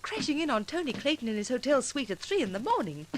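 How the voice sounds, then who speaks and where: A woman's voice: high voice, sing-song, quick pace. An actress playing an anxious, loyal female companion to a sleuth in melodramatic 1940s radio acting. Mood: skeptical. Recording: noisy vintage radio recording with clicks.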